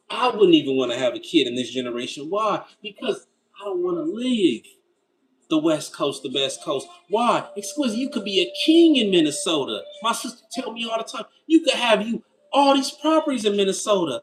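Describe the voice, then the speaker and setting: A man's voice: high voice, rough recording. A man in his thirties hosting a casual livestream. He reads out viewer comments and answers them in a loose, slangy way, asking himself rhetorical why-questions. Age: 30s. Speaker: livestream host.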